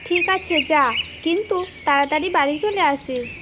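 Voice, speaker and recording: A woman's voice: high voice, moderate pace, noisy background, natural voice. A woman voicing a worried, scolding mother in a cartoon fairy tale. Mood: caring. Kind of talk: animated cartoon dialogue.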